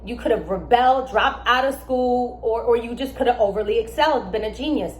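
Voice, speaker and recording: A young adult woman, born in Germany and raised in America, explaining astrology in a casual, emphatic way with lively asides. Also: on the high side, a little fast, a little hiss or hum. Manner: animated, emphatic, with asides and laughs. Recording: echoey room with faint ambience.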